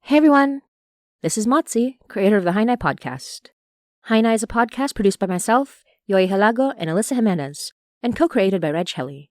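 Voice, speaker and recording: Female podcast host, scripted outro and shout-outs, very varied intonation, close dry recording. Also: mid-range voice, no echo.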